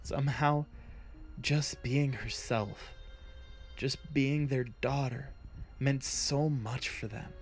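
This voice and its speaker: A man who hosts the channel reading a fantasy story aloud in a measured, deliberate way. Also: medium pitch, soft background music. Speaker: channel host and narrator. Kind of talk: scripted story narration.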